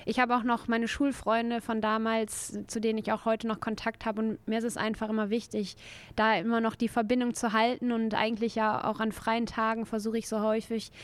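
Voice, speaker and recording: Smooth-voiced woman in her thirties. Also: a little high, level tone, a little fast, dry recording. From Belgium. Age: 30s. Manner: easygoing, many fillers, laughs lightly. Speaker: guest, footballer. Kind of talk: relaxed interview answers.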